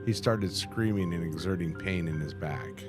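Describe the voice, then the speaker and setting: A man with a deep voice reads text aloud for a reaction video. He halts and repeats phrases, dropping in casual remarks. Music plays clearly behind him. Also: moderate pace. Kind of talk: reading on-screen text aloud with casual commentary.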